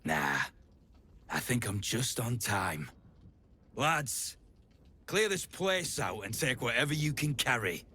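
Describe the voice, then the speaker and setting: A man's voice: mid-range voice, sing-song, slow, long pauses, pushed and bright, a little hiss or hum, exaggerated voice. A man playing a cocky, ruthless mercenary leader in a fantasy video game, swaggering and colloquial. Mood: menacing.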